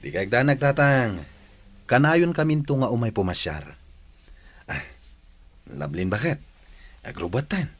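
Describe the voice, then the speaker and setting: A man's voice: medium pitch, big pitch swings, measured pace, pauses often. A man with an Ilocano accent acting a breezy, bossy, thrifty young husband in a comedy drama. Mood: polite, reassuring.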